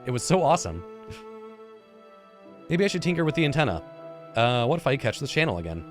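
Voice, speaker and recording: Male let's player, 30s, animated narration of a story game with loose asides, music underneath. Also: mid-range voice, brisk. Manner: informal, stumbles and rereads words. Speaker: gaming YouTuber, let's player. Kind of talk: reading visual novel narration aloud with casual comments. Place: indoor room, seated at a computer.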